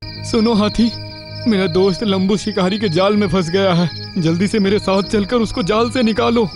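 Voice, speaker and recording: A man's voice: sits high, normal speed, music in the background, lightly acted. A man voicing an earnest male jungle animal in a cartoon story, speaking emphatically. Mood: urgent. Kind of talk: Hindi cartoon moral story voice acting.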